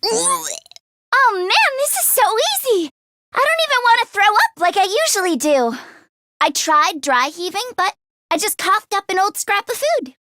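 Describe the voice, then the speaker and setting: Very high-pitched, earnest anime-game girl. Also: a little slow, heavily acted. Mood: queasy. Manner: expressive, earnest, emotionally heightened delivery. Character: earnest, anxious, emotional teenage girl student defender. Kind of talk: English video game voice acting.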